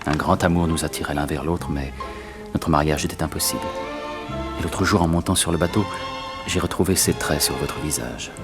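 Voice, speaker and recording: A middle-aged actor playing a suave, romantic gentleman thief with tender, courtly, theatrical phrasing in a 1960s French radio serial. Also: very deep voice, fairly quick, music in the background. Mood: tender, wistful.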